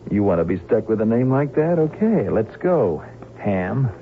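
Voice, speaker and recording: An actor playing a blunt, sardonic airline captain held hostage, in an old-time radio drama. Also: male voice, fairly low pitch, sing-song, normal speed. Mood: wry, steady. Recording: tinny, hissy old radio recording.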